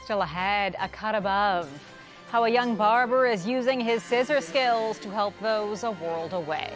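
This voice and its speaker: A Canadian-accented woman anchors a scripted newscast. Her pitch is in the middle range and music plays behind her. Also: a little slow, low-quality recording. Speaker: news anchor.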